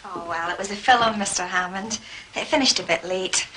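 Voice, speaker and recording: An actress playing a chatty, dreamy, romantic young housemaid with a Scottish accent in a period mystery drama. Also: female voice, medium pitch, normal speed, pushed and bright, a little hiss or hum, close to an everyday voice, poor audio. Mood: breezy, excusing. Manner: gushing, chatty. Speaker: actor.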